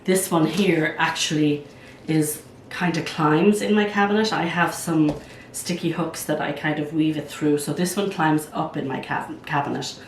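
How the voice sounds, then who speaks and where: An older woman with a hoarse, croaky voice from a cold, chatting casually as a plant vlog host at home while she works, thinking aloud. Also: sits low, fairly even intonation, normal speed, hardly any pauses, slight background noise. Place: at home, indoors. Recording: roomy sound from a distance.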